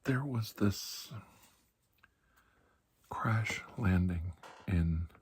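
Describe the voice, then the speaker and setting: A man playing a calm, kindly doctor in a soft-spoken ASMR roleplay, reassuring and deliberate, with long pauses and breathy slips into whispering. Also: deep voice, full character voice. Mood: hushed, confiding. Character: calm, kindly, ageless male doctor of alien medicine.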